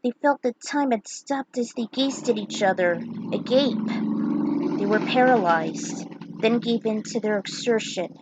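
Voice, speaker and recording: A woman's voice: fairly high pitch, a little slow, very noisy. Woman reading her own fan story. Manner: earnest amateur reading with small slips. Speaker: fan fiction author. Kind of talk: reading her own story aloud, then a casual afterword.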